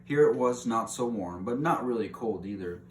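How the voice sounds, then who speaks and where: A man's voice: fairly low pitch, lively intonation, moderate pace. A man reading a children's novel aloud in an informal way, sometimes stumbling and rereading a phrase. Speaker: read-aloud host. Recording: roomy echo, distant mic, faint noise.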